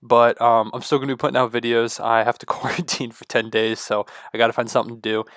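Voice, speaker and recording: A man with a stuffy, congested voice and low energy, rambling excitedly to the camera at home. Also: quick pace, no room sound, studio quality. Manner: loose, rambling, excited fan talk with many fillers. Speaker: sports vlogger. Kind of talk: casual rambling talk to camera.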